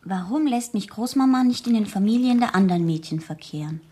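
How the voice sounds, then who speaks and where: An actress playing a naive, eager teenage schoolgirl in a radio play, artless, impulsive and breathy. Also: medium pitch, expressive, fairly quick, dark tone. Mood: candid, naive. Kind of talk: 1970s German radio play acting.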